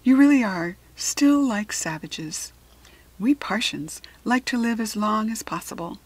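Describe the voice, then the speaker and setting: A woman's voice: fairly high pitch, expressive, measured pace, slightly rough, full character voice, low-quality recording. A woman voicing a polite, chatty, slightly superior talking hare from another world in a children's storybook, articulate and formal. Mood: lofty, matter-of-fact. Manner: articulate, formal, self-assured. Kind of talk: children's storybook character reading.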